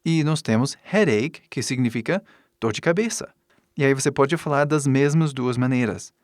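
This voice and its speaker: A man with an English speaker's foreign accent, a native English speaker, teaching in a deliberate way with pauses between short phrases and example sentences. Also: medium pitch, dry recording, clean studio sound. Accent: English-speaking foreigner's accent in Portuguese. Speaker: English teacher giving an online lesson. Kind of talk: instructional lesson with example sentences.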